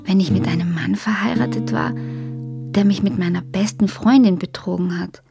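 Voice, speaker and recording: A woman's voice: lowish voice, moderate pace, creaky, over music. An actress in a radio drama playing a guarded, searching woman cut off from her past, restrained, firm and questioning. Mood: bitter, reflective.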